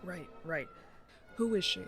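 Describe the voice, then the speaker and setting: A man's voice: high voice, unhurried, gravelly, bright, forceful tone, soft background music, full character voice. A man playing a loyal, teasing young nobleman in an audio drama, eager and playful in manner. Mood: teasing, curious.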